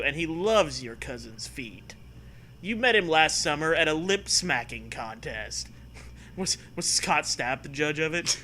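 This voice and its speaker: Bright, lively man reading comedy aloud. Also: fairly high pitch. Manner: theatrical, breaks off to riff and joke. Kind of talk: comedic dramatic read-aloud with casual banter.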